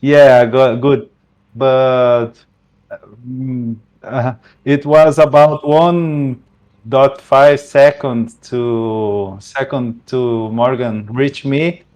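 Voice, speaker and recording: A young Brazilian man with a Brazilian Portuguese accent, racing winner giving a post-race interview over a headset, searching for words in halting English. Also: medium pitch, fairly even intonation, darkish tone, poor audio. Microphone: headset over voice chat.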